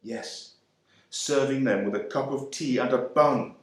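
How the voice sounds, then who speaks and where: A man delivers a literary monologue in a measured, emphatic manner, taking his time over every phrase. His voice sits at an ordinary pitch for a man. The recording rings with heavy room echo. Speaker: reader performing a monologue. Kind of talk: dramatic literary monologue reading. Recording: very echoey room.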